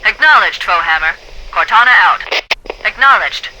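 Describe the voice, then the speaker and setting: A woman's voice: medium pitch, lively intonation, normal speed. A woman voicing a female military AI in a video game, making clipped, businesslike radio calls through a radio filter. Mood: brisk, focused. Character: female military AI radio dispatcher, sharp and urgent. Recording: radio transmission with faint noise.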